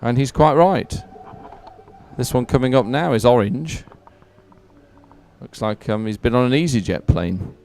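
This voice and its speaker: A man's voice: mid-range voice, expressive, measured pace, long pauses, darkish tone. A man giving live, unscripted sports commentary in a chatty, hesitant, explanatory way.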